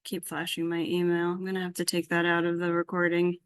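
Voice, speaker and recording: A woman's voice: lowish voice, completely flat delivery, brisk. A woman giving an informal live coding demonstration as instructor, tentatively thinking aloud as she works. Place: indoor group workshop session.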